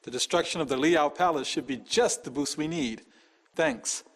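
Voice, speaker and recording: Earnest, higher-voiced rebel leader. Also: male voice, moderate pace, a bit bright. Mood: grim resolve. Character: resolute male rebel leader turned planetary president. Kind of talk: dramatic game cutscene acting.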